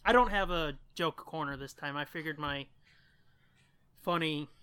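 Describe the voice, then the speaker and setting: Bright, higher-pitched male podcast co-host. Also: measured pace, smooth, dry recording. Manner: informal, profane. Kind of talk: casual chat.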